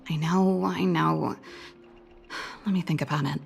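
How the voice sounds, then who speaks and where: A woman's voice: deep voice, steady tone, a little slow, creaky, faint music behind, exaggerated voice. An actress playing a headstrong, weary woman engineer in a video game, blunt and exasperated. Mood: weary, resigned.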